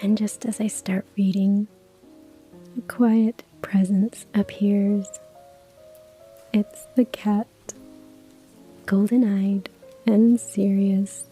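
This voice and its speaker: Slow, whispering woman, ASMR storytelling. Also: medium pitch, little pitch movement, faint music behind. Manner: slow, hushed whisper with soft laughs. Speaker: narrator.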